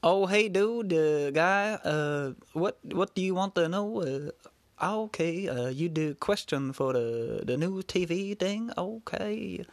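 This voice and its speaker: A woman's voice: lowish voice, expressive, no room sound. A woman doing a hesitant foreign passerby. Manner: hesitant, halting. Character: hesitant foreign male passerby, broken English.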